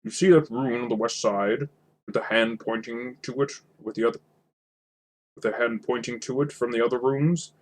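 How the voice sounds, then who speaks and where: Guy doing a stern old storybook wizard, commanding, emphatic, kinda husky. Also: mid-range voice, moderate pace, natural voice. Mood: explaining. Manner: authoritative, emphatic.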